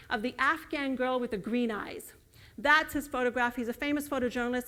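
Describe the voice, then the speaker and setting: A woman whose first language is Urdu, giving an earnest lecture with slides and building emphasis on key words. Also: fairly high pitch. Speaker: guest lecturer.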